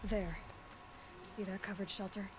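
A woman playing a self-assured, commanding young huntress in a video game, speaking in a measured, purposeful way. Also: medium pitch, fairly even intonation, noisy background, lightly acted. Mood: focused, planning. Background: game music.

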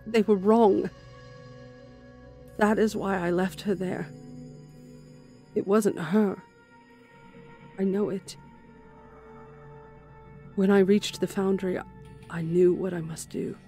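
A woman's voice: medium pitch, fairly even intonation, slow, long pauses, warm tone, soft background music, lightly acted. A woman voicing a grim, zealous warrior nun who recounts a horror in solemn, intense dramatic narration. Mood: haunted, zealous.

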